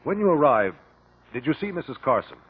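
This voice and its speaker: An actor playing a calm, shrewd male investigator in a 1950s radio drama, questioning in a measured, precise way. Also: mid-range voice, husky. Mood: probing, polite. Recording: muffled lo-fi 1950 radio broadcast.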